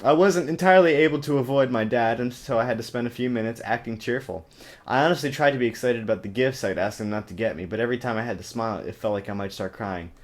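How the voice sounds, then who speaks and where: A man's voice: medium pitch, fast talker. Sarcastic man doing a mock-dramatic book reading. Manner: theatrical, sarcastic, exaggerated. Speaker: amateur reader and comic commentator. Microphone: webcam. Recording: choppy amateur webcam recording.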